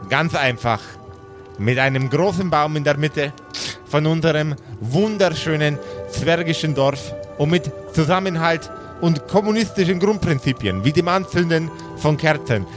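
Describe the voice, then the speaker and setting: Improvised fantasy audio play: male player as self-important dwarf speechmaker, florid and rambling, slightly high voice, music underneath. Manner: pompous, florid, stumbling over grand words. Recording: music behind the voice.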